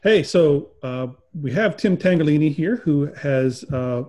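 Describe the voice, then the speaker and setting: A man's voice: a little high, lively intonation, a little slow, a little mellow. A man introducing a guest and asking questions in an informal virtual interview, often restarting his sentences.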